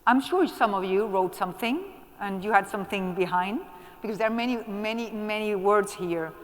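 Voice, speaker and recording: A woman moderates a conference panel in a mid-pitched voice. She keeps coaxing the room and encouraging people in the audience to speak. Her voice rings with the long reverb of a large hall. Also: normal speed.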